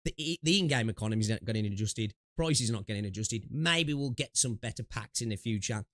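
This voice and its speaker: A man's voice: lowish voice, animated, a little fast, a bit bright, no echo, studio quality. A man streaming games, chatting loosely with restarts, fillers and swearing, sniffling from allergies. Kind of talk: casual gaming stream chat.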